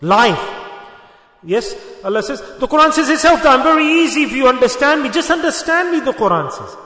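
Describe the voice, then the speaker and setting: A man's voice: very high-pitched, very animated, a little fast, bright, forceful tone. A man whose first language is Urdu, preaching a sermon and exhorting listeners with rhetorical questions and repetition. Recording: echoey hall with long reverb.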